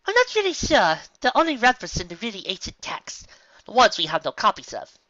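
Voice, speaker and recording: A woman voicing a studious, earnest female unicorn leader with a brisk manner. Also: on the high side, wildly swooping pitch, bright, forceful tone, no room sound, pitched above the performer's own voice, heavily acted. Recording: dull lo-fi recording.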